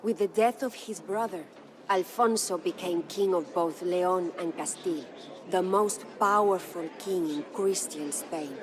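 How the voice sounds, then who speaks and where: Woman acting a low-voiced, solemn noble Spanish widow. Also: crowd noise, close to an everyday voice, rough recording. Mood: solemn, storytelling. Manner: solemn, formal, measured. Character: proud grieving noble Spanish widow, lady of a castle. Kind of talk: dramatic scripted storytelling narration. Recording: music behind the voice.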